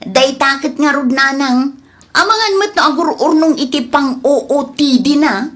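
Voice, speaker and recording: A woman's voice: high-pitched, normal speed, a bit bright. A woman playing a plaintive, suspicious young wife in an Ilocano comedy drama, speaking with an Ilocano Filipino accent. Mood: troubled.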